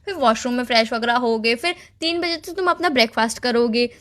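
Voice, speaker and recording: A woman's voice: fairly high pitch, brisk. A young woman in a casual couple's phone argument, listing complaints in a nagging way. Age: young adult. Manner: nagging, lists complaints at length. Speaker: girlfriend on a phone call. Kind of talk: casual relationship argument in call format.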